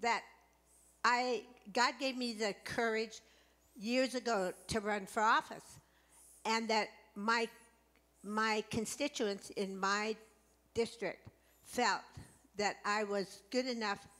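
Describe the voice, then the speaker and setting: Higher-voiced woman on the city council. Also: steady tone, slow, bright, forceful tone. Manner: friendly, dry humor. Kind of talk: short public remarks.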